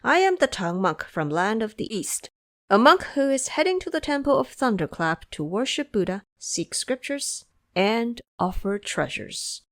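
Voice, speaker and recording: A woman voicing a humble, pious male monk in an audio drama, courteous and measured, with a preaching manner. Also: fairly high pitch, sing-song.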